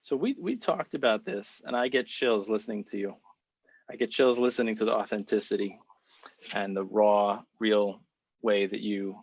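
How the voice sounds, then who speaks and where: A man hosting a podcast and interviewing his guest, effusive and affirming, with quick restarts. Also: on the low side, no echo. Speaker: podcast host and speech therapist. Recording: dull, dry lo-fi audio.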